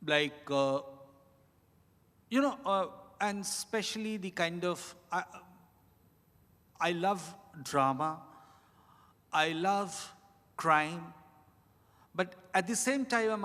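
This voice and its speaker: A man speaking as an author on a panel, answering off the cuff in a reflective, halting way, phrase by phrase. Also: a little high, a bit bright. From India. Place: large indoor event hall at a hotel. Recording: echoey event hall, long reverb.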